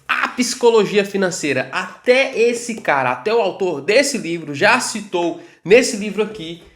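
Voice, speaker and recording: A man's voice: sits high, expressive, unhurried, roomy sound. A man in his twenties with a Brazilian Portuguese accent, explaining investing to his viewers in a casual, chatty way. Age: 20s. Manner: chatty, keeps addressing viewers as "galera", swings between loud and quiet. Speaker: finance YouTuber.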